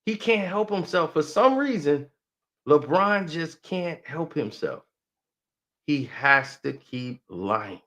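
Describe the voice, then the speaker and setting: A man's voice: on the high side, expressive, slow pace, fairly bright tone. A man giving a heated, mocking sports commentary rant that repeats its points.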